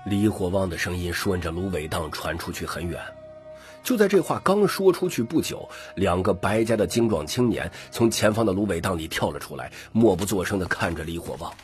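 Lively, lower-pitched male audiobook narrator. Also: brisk, faint music behind. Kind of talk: scripted dramatic fiction narration.

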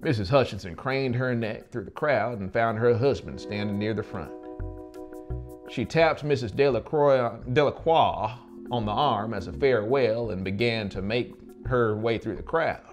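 A man's voice: mid-range voice, moderate pace. A man in his thirties narrating a story dramatically as an audiobook, close to the mic. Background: music.

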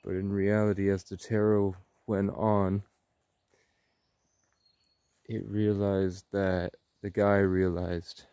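A man in his twenties giving a slow, rambling monologue in short, halting phrases while walking outdoors. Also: low voice, little pitch movement, dark tone, smooth, no echo. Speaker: vlogger. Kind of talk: slow rambling monologue, ASMR-style walk.

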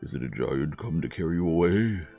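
A man voicing a stern, fatherly fairy-tale king with a loud, emphatic and deliberate delivery. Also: rumbling low, lively intonation, gravelly, lo-fi sound, soft background music, exaggerated voice. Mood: concerned.

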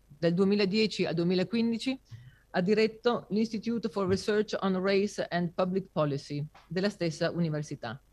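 A man acting as moderator, formally introducing a guest speaker at a university conference in a lecture hall, in a measured way with some fillers. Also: high voice. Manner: measured, with fillers and self-corrections.

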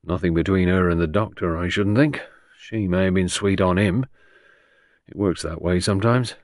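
A man playing a shrewd police inspector in an audiobook, probing in a confidential, slightly gruff manner. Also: low voice, moderate pace, dry recording, clean studio sound, close to an everyday voice. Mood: musing. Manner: easy, confidential, probing, grunting at times. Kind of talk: audiobook character reading.

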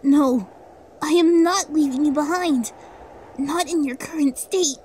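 A woman playing a frightened, devoted young boy fox in an audio drama, pleading and halting as she speaks. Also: sits high, darkish tone, a little hiss or hum. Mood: urgent, pleading. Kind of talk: emotional audio drama acting. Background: music.

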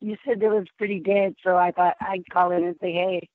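A woman's voice: lowish voice, steady tone, brisk, no echo. A woman from Texas calling in to a show, her voice nearly gone. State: nearly lost voice. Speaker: caller. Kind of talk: phone call-in chat. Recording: tinny phone line.

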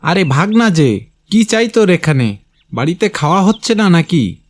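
A man's voice: high-pitched, very animated, normal speed. A man playing a greedy, grasping village uncle in a cartoon moral tale, brusque and demanding.

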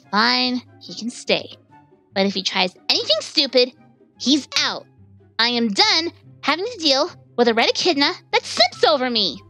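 A woman voicing a feisty, easily flustered young female cartoon hedgehog with dramatic, drawn-out delivery. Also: high-pitched, very animated, bright, forceful tone, exaggerated voice. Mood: irritated. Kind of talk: comic dub voice acting. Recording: music playing behind the voice.

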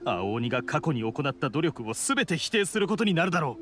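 An actor playing a guilt-laden, self-doubting young blue oni in a Japanese game, somber and guarded. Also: male voice, a little high, big pitch swings, fairly quick, fairly bright tone. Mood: bitter, doubtful. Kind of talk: Japanese anime game voice acting.

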